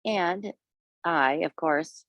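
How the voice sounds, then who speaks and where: Woman tour guide, hoarse from a cold, lowish voice, dry close sound, chatty and rambling, outdoors. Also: very slow, fairly bright tone, no echo. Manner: chatty, meandering, pointing things out. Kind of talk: live walking tour commentary. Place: outdoors in a city park and streets.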